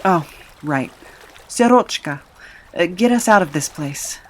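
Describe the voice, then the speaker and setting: A beleaguered, sheepish hero, played by a woman, speaks in a slightly low voice. The delivery is hesitant and wry, in comic audio drama style. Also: animated, a little slow, a little hiss or hum. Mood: surprised.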